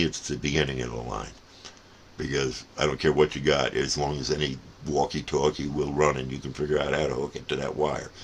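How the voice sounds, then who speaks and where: Older man, extremely low voice, folksy rambling hobbyist monologue, digressive, noticeable background noise. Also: vocal fry. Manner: folksy, digressive, repeats words for emphasis. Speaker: CB radio hobbyist giving advice.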